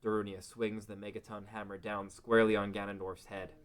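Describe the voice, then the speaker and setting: A man's voice: fairly low pitch, flat delivery, fairly quick. A man narrating a scripted fantasy story as the narrator of a table read.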